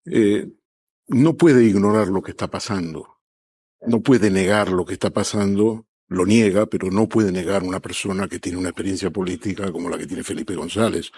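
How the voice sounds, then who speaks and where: A man giving commentary as a TV panelist, speaking in a measured way. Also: sits low, fairly even intonation, darkish tone, husky.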